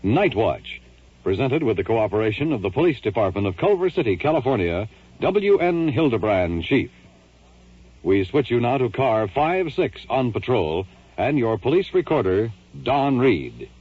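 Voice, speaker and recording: A man's voice: medium pitch, sing-song, moderate pace, slightly rough. Dramatic male announcer on tinny old radio. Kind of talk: 1950s documentary show introduction, scripted announcing. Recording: tinny, band-limited vintage radio recording.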